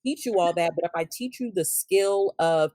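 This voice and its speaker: A woman in her late thirties, a guest trainer, talking in an animated, emphatic way with frequent laughter. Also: fairly low pitch, normal speed, no room sound. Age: 30s. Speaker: guest, trainer and clinical social worker. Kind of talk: casual conversational interview.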